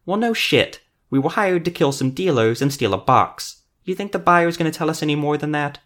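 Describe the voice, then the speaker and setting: A man's voice: mid-range voice, expressive, moderate pace, a bit bright, lightly acted. A man voicing a defensive, indignant halfling hired killer in a fantasy audiobook, blunt and coarse. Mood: exasperated.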